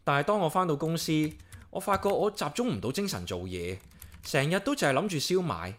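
An actor playing a solitary, caring young office worker with a Hong Kong accent, narrating and chatting casually in a radio drama. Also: male voice, a little high, big pitch swings, a little fast. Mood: worried, musing. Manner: casual, talks playfully to himself and pet. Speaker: lead character and narrator. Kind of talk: radio drama dialogue and inner-monologue narration.

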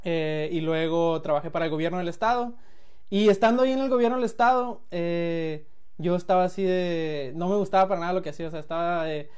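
A man's voice: a little high, a little fast, a little mellow, smooth. A man in his late twenties from Sinaloa with a Mexican accent, a guest telling his story in a loose, laughing way, restarting phrases and acting out quotes. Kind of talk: casual storytelling chat.

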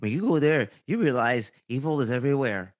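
A man from Haiti, a guest in a casual interview, speaking in an earnest, measured, slightly hesitant way. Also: mid-range voice, expressive, dry recording, clean studio sound.

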